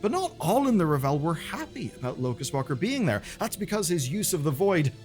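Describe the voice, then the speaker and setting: A man presenting game lore as dramatic scripted narration, with pointed pauses and colloquial asides. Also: on the high side, sing-song, a little mellow, faint music behind.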